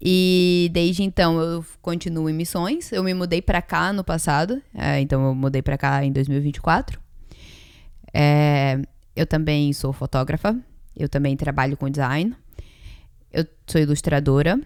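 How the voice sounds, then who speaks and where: A Brazilian woman of about thirty chatting casually as a podcast co-host, informal and quick to joke about herself. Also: sits low, steady tone, a little mellow, smooth, no echo, studio quality. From Ceará, Brazil.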